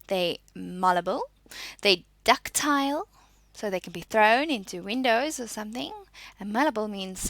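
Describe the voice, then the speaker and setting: A woman giving a spoken lesson as a teacher, reading out and explaining in short, deliberate, instructive statements. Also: medium pitch, gravelly, a bit bright, no room sound.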